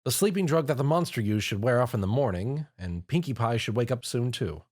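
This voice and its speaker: A man's voice: medium pitch, lively intonation, brisk, a little mellow, no room sound, clean studio sound, close to an everyday voice. A man voicing a studious, earnest female unicorn pony who explains things a little exasperated.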